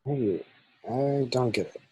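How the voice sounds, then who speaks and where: A man acting out script lines in an amateur table read, with a rough, husky voice. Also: medium pitch, slow, warm tone, dry recording. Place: remote video call from home.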